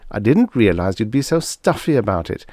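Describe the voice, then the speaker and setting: A man's voice: lowish voice, very animated, moderate pace, a little mellow, no room sound, voice pushed up. A man voicing a cocky, defiant human prisoner, flippant and mock-innocent, in a pulp science fiction audiobook. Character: cocky, defiant male human prisoner taunting his alien captors.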